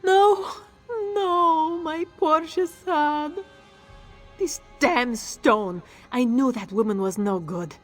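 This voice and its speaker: A woman's voice: sits high, slow, soft background music. A woman playing an anxious, grieving and bitter lover in scripted fantasy game drama.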